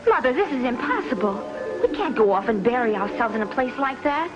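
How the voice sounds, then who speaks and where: Woman acting snooty young american society girl, clipped n scornful, old dull tv western, loud music. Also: fairly high pitch, expressive, fairly quick, close to an everyday voice. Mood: indignant. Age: young adult. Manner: disdainful, clipped. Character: haughty, spoiled young society daughter. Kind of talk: 1950s television western acting.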